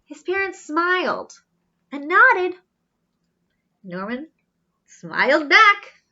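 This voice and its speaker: A woman's voice: very high voice, muffled recording. A woman reading a children's story aloud expressively, adding simple questions and asides for young listeners. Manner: expressive, questioning, with gasps and drawn-out emphasis.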